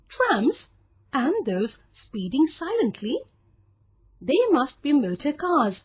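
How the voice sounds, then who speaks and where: A woman narrating a scripted story for children as a storyteller. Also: high voice. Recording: phone-like narrow, lo-fi sound.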